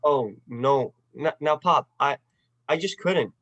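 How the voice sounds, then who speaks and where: A man playing an earnest, restless young man with big dreams in a radio play, speaking haltingly. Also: fairly high pitch, fairly bright tone, no room sound, dull audio. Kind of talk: 1940s-style radio play acting.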